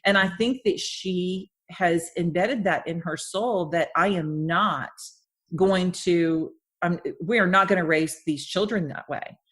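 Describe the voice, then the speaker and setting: A woman's voice: fairly low pitch, measured pace, a bit bright, slightly rough. A woman in her fifties hosting a podcast interview, chatting in a rambling, friendly way with frequent restarts and easy laughter. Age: 50s. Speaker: podcast host. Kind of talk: casual interview chat.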